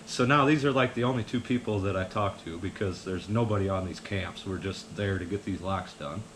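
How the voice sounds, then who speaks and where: A man's voice: low-pitched, a little fast, fairly bright tone, slight background noise. A man tells casual, meandering personal stories in a folksy way. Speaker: vlogger telling personal stories. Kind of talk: casual rambling storytelling.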